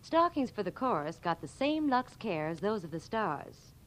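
A woman's voice: mid-range voice, big pitch swings, normal speed, fairly bright tone, slight background noise. A woman with an American accent reading scripted advertising dialogue in 1940s radio style.

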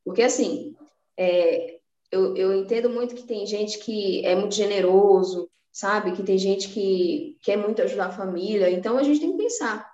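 A woman's voice: mid-range voice, moderate pace, mellow, roomy sound, muffled recording. A woman from Salvador, Bahia, with a Bahian accent, a podcast guest talking about personal finance in an earnest, motivating way, with many restarts. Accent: Bahian Brazilian Portuguese. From Salvador, Bahia, Brazil. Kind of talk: casual interview chat.

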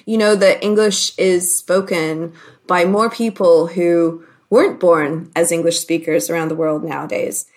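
A woman born in Hong Kong, a communication coach, talking casually as a guest and explaining her ideas with thoughtful pauses. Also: on the low side, fairly even intonation, darkish tone. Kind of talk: casual interview.

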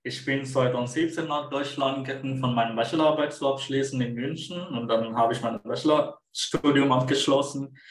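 A man's voice: mid-range voice, reverberant. A young man from Sri Lanka, not a native speaker, introducing himself as a sales trainee in an interview. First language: not German. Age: young adult. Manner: non-native phrasing.

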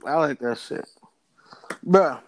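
A young man from Florida co-hosts a casual podcast, his voice sitting a bit higher than most men's. He swears freely and laughs through animated stories. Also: slow pace. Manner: profane, laughing, animated storytelling. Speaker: podcast co-host.

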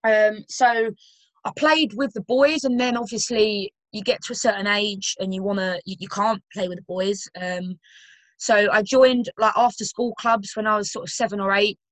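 A young woman from Romford with a London accent talks easily as a podcast guest. She rambles a little, with plenty of ums and self-corrections, at a middling pitch. The recording is dry and close. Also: fairly even intonation, moderate pace, fairly bright tone, dry recording, low-quality recording. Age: 20s. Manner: chatty, with many fillers and restarts. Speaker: guest footballer and personal trainer. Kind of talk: casual podcast interview.

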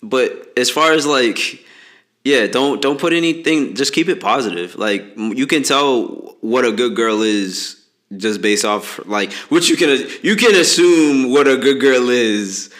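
Late-twenties male co-host, easygoing and jokey, mid-pitched voice, casual podcast chat. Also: animated, unhurried, a bit bright. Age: late 20s. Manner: laid-back, joking, slangy advice.

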